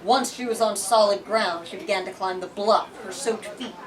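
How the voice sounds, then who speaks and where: A woman reading a story aloud to a group in an expressive, emphatic way. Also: medium pitch, moderate pace, crowd noise. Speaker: bookstore lit talk leader reading aloud. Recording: distant microphone, room with audience noise.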